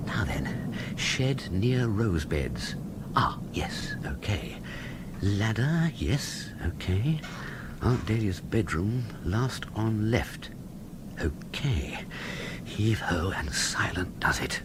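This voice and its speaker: An actor playing a flustered, hapless young English gentleman in a comic radio drama, with a plummy upper-class accent and a blustering manner. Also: lowish voice, big pitch swings, slow, background noise. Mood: furtive. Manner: plummy, blustering, sarcastic, stammering when cornered.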